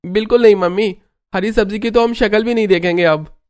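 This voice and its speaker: A performer playing the outspoken grown child of a bickering family in a cartoon, expressive and emphatic. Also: mid-range voice, fairly quick, dry recording, soft background music, close to an everyday voice. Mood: teasing. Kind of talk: Hindi family cartoon voice acting.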